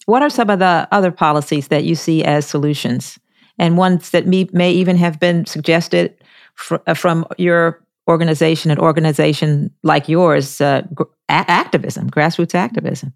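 A woman hosting an interview podcast, putting measured questions to her guest. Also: deep voice, steady tone, creaky, dry recording, studio quality.

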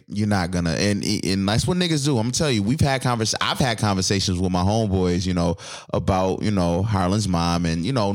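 A man with a low, deep voice talks loosely on a podcast. He wanders through his points, stacking fillers and false starts as he chats with his co-host. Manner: rambling, loose, full of fillers and restarts. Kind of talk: casual podcast chat.

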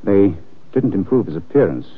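A man playing a suave, dryly witty gentleman sleuth in an old-time radio drama, unhurried and given to wry understatement. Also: low-pitched, big pitch swings, over loud music. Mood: dryly amused. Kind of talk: 1940s-50s radio drama acting. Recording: tinny, muffled old-time radio recording.

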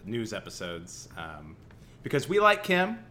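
A young podcast co-host with a Central Illinois accent, loose and playful, laughing easily. His voice is bright and sits a little low, with lively swings in pitch. Faint room ambience hangs behind him. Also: measured pace, a little hiss or hum. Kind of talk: casual podcast chat.

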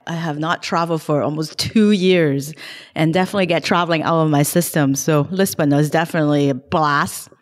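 Lady on a podcast, fairly low voice, brisk career story, echoey long-tail room. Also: fairly even intonation. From Hong Kong. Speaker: guest investor. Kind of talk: casual podcast interview.

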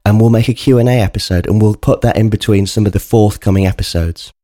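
A man hosting a podcast, his voice low and mellow and thickened by a bunged-up cold. He talks to his listeners in an earnest, chatty way, and the recording is close and dry with no room sound. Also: fast, dry recording. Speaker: podcast host. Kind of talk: casual spoken podcast introduction.

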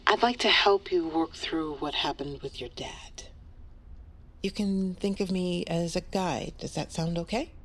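Therapist: lower register, measured, soothing yet persistent, fiction podcast drama. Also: female voice. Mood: calm, probing. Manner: measured, reassuring. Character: calm, reassuring woman therapist, patient but probing. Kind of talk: audio drama acting.

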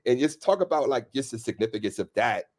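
A man's voice: medium pitch, animated, fast, a little mellow. A man from Washington, D.C., a hip-hop journalist leading an easygoing casual conversation. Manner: easygoing, leads the talk with frequent fillers.

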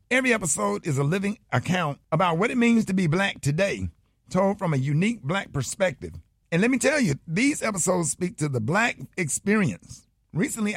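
A man from Alabama with a Southern US accent, talking casually as a morning radio host with a husky voice. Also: on the high side, dry recording, studio quality. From Birmingham, Alabama, USA. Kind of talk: casual radio banter and personal ad reads.

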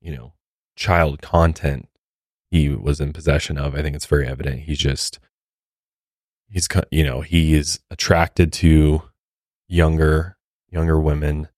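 A man's voice: rumbling low, level tone, a little slow, long pauses, darkish tone, no room sound, studio quality. A man co-hosting a podcast, giving casual, opinionated commentary. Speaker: podcast co-host.

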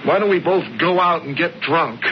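An actor playing a lovesick, anguished sculptor, the younger brother in a radio drama, speaking earnestly with halting, stammering phrasing. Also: male voice, a little high, natural voice. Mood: frustrated. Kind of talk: 1970s radio drama acting. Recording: dull, noisy old radio broadcast.

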